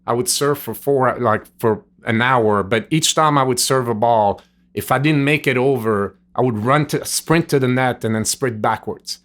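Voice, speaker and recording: A man's voice: medium pitch, normal speed, fairly bright tone. A middle-aged man from Switzerland, a native French speaker with a Swiss French accent, earnestly telling his life story as a podcast guest, rambling with "like" and "you know". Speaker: guest, nutrition store owner. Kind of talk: storytelling interview answers. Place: gym.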